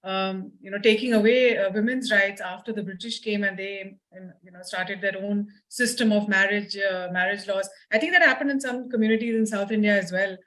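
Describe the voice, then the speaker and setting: A woman moderates a discussion in a conversational way. Her pitch is average, and she is attentive and agreeing, pausing briefly as she adds to the point. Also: fairly even intonation, a bit bright, rough recording. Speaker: discussion host. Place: online discussion call.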